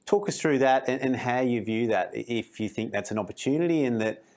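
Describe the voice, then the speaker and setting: A man's voice: mid-range voice, fairly quick, a little mellow. A man hosting a podcast, asking long, looping interview questions. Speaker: podcast host.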